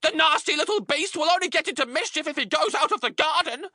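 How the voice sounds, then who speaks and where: A shrill, spiteful aunt from a children's storybook, acted out by a male reader. The voice sits very high and scolds with open contempt, recorded close and dry. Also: lively intonation, quick pace, pushed and bright, dry recording, full character voice. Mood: nasty, scolding. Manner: scornful, spitting insults. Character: horrible cruel aunts scolding, shrill nasty women.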